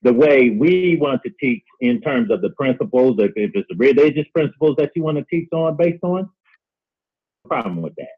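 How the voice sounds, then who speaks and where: A man speaking earnestly as a guest on a discussion show, halting and often repeating words. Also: medium pitch, lively intonation. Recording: dull lo-fi call audio.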